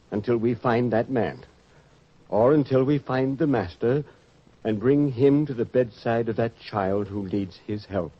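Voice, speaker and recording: A commanding, stern disciple played by a man with a mid-pitched voice. He declaims with authority in a biblical radio play, on a dull old recording. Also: lightly acted. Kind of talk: old-time religious radio drama acting.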